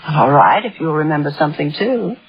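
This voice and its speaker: A woman's voice: sits low, expressive, a little fast. An actress playing a doting, possessive middle-aged mother who coos over her grown son in a 1950s radio drama. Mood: disapproving, possessive. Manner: gushing, cooing baby-talk to her son. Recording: old muffled radio broadcast, loud music.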